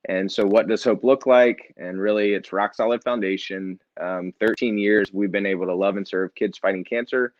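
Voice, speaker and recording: Low-voiced man telling his story. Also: normal speed, few pauses, no echo, lo-fi sound. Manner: relaxed, earnest, light self-deprecating humor. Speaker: nonprofit founder and author. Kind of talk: casual interview storytelling.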